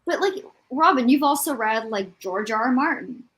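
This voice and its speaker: Gal with a highish voice chatting about books, sounds worn out by it all, pokes fun at herself. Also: female voice, animated, normal speed, fairly bright tone. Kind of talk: casual chat about books.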